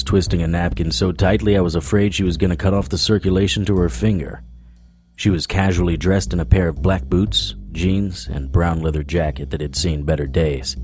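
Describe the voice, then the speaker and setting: A man playing a wry, world-weary supernatural private detective, narrating a noir radio drama in a deadpan, sardonic way. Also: low-pitched, natural voice.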